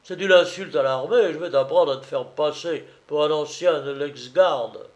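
A man voicing a blustering old Napoleonic drum-major in a storybook reading, booming and bombastic in colloquial soldier's talk. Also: lively intonation, slow, darkish tone. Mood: furious.

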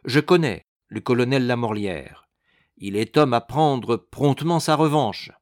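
A man's voice: medium pitch, expressive, a little slow, no room sound, clean studio sound, natural voice. A man voicing a proud, courtly police minister, ceremonious and assured, in a storybook reading. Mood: triumphant, brisk.

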